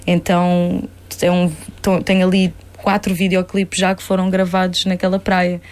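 A woman's voice: fairly low pitch, completely flat delivery, normal speed. A young woman from Spain with an Algarve Portuguese accent, a singer-songwriter chatting casually in an interview, laughing often and trailing off to restart.

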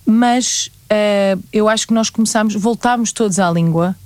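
A woman's voice: medium pitch, moderate pace, dry recording. A young woman with a European Portuguese accent, a singer-songwriter talking animatedly as a guest in an interview. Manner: lively, animated storytelling. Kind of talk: conversational interview.

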